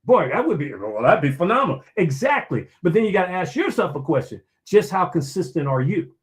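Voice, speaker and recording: A man's voice: sits high, very animated, brisk. A man giving a motivational talk, preachy and emphatic, repeating key phrases and asking himself questions that he then answers. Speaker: motivational speaker.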